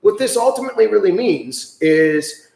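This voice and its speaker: Upbeat American host, higher voice, echoey. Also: male voice, lively intonation, normal speed, creaky, darkish tone. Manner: enthusiastic, chatty, many fillers. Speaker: livestream host. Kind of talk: casual livestream chat.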